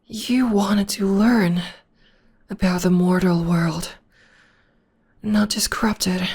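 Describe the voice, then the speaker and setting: A woman playing a gentle, loving witch girlfriend in an intimate ASMR roleplay, speaking softly and tenderly in a breathy half-whisper. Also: mid-range voice, fairly even intonation. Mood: fond, reminiscing. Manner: tender, slow, soothing. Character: gentle, loving witch girlfriend comforting her demon partner.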